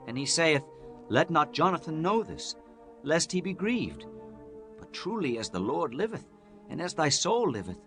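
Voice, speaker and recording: A man's voice: a little high, big pitch swings, moderate pace, fairly bright tone, soft background music. Actor as earnest young man of ancient Israel. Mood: anxious, pleading. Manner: earnest, pleading, archaic diction. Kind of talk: dramatized scripture acting.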